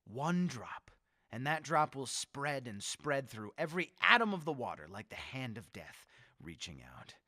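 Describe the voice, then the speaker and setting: A megalomaniac madman, intensely forceful and bright. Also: mid-range voice, sing-song, measured pace, dry recording, clean studio sound. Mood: sinister relish. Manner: theatrical, gloating, swinging from hushed menace to shrieks. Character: megalomaniac mad male leader plotting mass death. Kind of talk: old-time radio horror drama acting.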